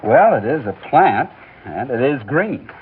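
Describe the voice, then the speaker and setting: A man's voice: mid-range voice, unhurried, soft background music. An actor playing an eager male astronaut in an old radio drama, the first man on the moon. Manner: eager, lively. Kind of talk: 1950s radio drama acting. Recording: tinny old radio broadcast with faint hiss.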